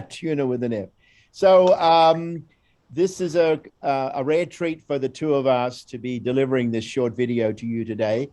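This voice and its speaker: A man's voice: medium pitch, measured pace. An Australian man directing a program, giving an informal recorded presentation in a chatty, rambling way as he draws out his colleague. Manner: chatty, rambling, warm encouragement of his guest.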